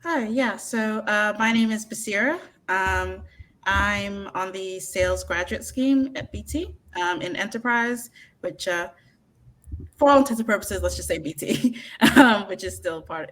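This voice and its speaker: A woman's voice: mid-range voice, moderate pace, a bit bright. A young woman introducing herself as a graduate trainee, hesitant, with many fillers and self-corrections. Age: young adult.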